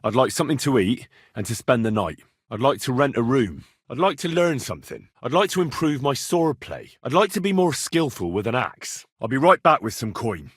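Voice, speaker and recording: An actor playing an earnest, inquisitive young medieval blacksmith turned adventurer in a video game, speaking in a plain-spoken way. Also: male voice, medium pitch, very animated, moderate pace, few pauses, breathy edge, no echo, clean studio sound. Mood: earnest, curious. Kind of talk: video game dialogue lines.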